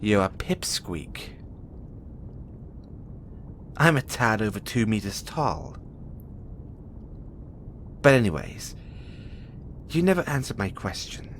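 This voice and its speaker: A man's voice: on the low side, sing-song, takes it slowly, pauses often, slight background noise, exaggerated voice. A man playing a huge, imprisoned experiment in an audio roleplay, cocky and bitter, teasing and volatile. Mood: playful, teasing. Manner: teasing, swaggering, swinging from soft murmurs to loud outbursts. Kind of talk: intimate audio roleplay monologue addressed to the listener.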